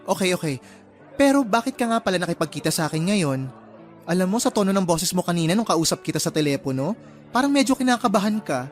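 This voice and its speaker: A man's voice: high voice, fast. Man playing a chatty, teasing young matchmaker friend. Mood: curious. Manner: expressive, gossipy, exclaiming. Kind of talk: radio drama dialogue. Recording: music behind the voice.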